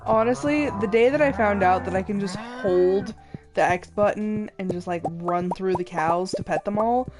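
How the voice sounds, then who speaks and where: A woman's voice: mid-range voice, moderate pace. A young adult woman streaming a game while congested and a little sick, chatting casually and apologizing for her voice. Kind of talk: casual gameplay commentary. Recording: game music behind voice.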